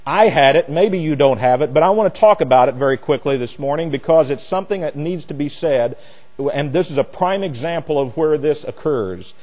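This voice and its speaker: A man's voice: on the high side, lively intonation, fairly quick, a little mellow. A man preaching as a pastor in a Bible lesson, speaking in an emphatic, opinionated, plain-spoken and folksy way. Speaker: pastor teaching a Bible study. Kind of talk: sermon, expository Bible teaching.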